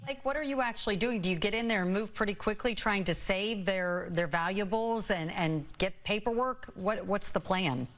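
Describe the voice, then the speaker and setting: A woman anchoring a TV newscast, with smooth scripted delivery. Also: mid-range voice, moderate pace, hardly any pauses. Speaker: TV news anchor.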